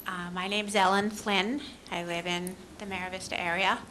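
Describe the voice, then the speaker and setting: A woman's voice: mid-range voice, steady tone, moderate pace, pushed and bright, slight background noise, poor audio. A woman resident asking direct questions during public comment at a town meeting. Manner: inquisitive, direct.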